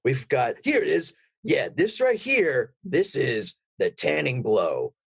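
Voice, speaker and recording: Stoner surfer dude acted out by a man: gravelly, swooping, drawling and chummy, with conspiratorial paranoia, for improv comedy. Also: medium pitch, wildly swooping pitch, slow pace, exaggerated voice. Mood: chummy, salesy. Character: laid-back, paranoid long-haired stoner surfer dude. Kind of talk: improvised comedy role-play.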